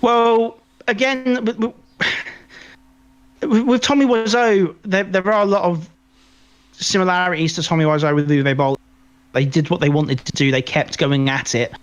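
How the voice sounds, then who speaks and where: A man co-hosting a podcast in casual film review chat, rambling and halting as he repeats words mid-sentence. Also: high voice, flat delivery, smooth. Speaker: podcast co-host.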